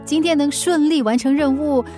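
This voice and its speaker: 一位女演员在广播剧里饰演嘴硬心软、爱顶嘴的年轻女快递员，带新加坡口音。. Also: female voice, sits high, dark tone, soft background music, close to an everyday voice. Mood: prickly, teasing. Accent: Singaporean. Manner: brusque, teasing, quick retorts. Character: spirited young woman courier, prickly but kind-hearted. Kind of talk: radio drama dialogue.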